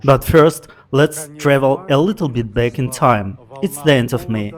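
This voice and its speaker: A middle-aged man with a non-native English accent, narrating a walking vlog in an earnest, opinionated and measured way. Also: medium pitch. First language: not English. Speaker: vlogger.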